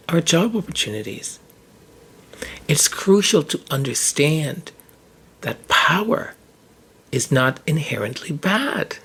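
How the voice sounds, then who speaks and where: A podcast host with a hoarse voice, talking through ideas in a casual monologue, often restarting and repeating words mid-thought. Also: mid-range voice.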